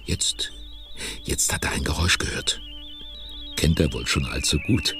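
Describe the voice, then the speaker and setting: A man playing the narrator of a children's radio play, telling the scene in a wry, expressive way. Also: a bass voice, a little slow, over music. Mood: wry storytelling. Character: radio-play narrator describing a nervous mayor's meal.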